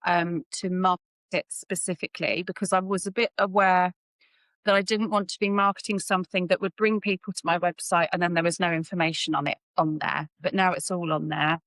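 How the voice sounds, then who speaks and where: Low-voiced woman, dry sound. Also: fairly even intonation, fairly quick, fairly bright tone, no room sound. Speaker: healthcare professional being mentored. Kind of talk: casual professional chat.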